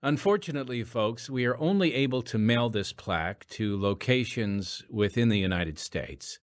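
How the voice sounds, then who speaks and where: A man's voice: medium pitch, lively intonation, normal speed, dry recording. A man reading scripted narration and announcements as the host of an audio drama podcast.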